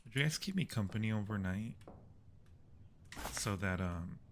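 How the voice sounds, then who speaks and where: A man's voice: fairly low pitch, lively intonation. A man hosting a horror game livestream, chatting casually and muttering his way through tasks. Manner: unhurried, muttering to himself and reading out tasks. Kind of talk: casual gaming commentary. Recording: clear background noise.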